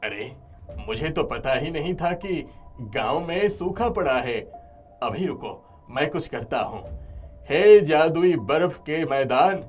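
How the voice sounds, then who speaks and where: A man's voice: on the high side, lively intonation, slow pace, a little mellow. A man playing a kindly but firm, aggrieved old village father in a moral-story cartoon. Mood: generous, helpful. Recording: music behind the voice.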